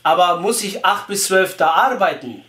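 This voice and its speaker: A man putting on a naive, persistent Turkish immigrant with a Turkish accent, halting and ungrammatical, on a prank phone call. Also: high-pitched, animated, fairly bright tone. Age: young adult. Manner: halting, ungrammatical, repeats himself. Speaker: prank caller. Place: small room indoors, phone on speaker. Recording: echoey room.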